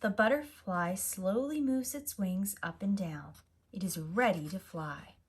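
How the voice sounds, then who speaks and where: A woman reading a picture book aloud to young children in an expressive, deliberate manner as a storyteller. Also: mid-range voice, husky. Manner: slow, expressive, simple deliberate phrasing. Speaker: storyteller, preschool teacher.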